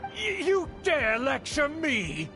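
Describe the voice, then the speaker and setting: A man's voice: very high-pitched, huge pitch swings, slow pace, over music, full character voice. An actor playing a haughty older nobleman in a game, an indignant and domineering uncle with a formal, scolding manner. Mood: outraged.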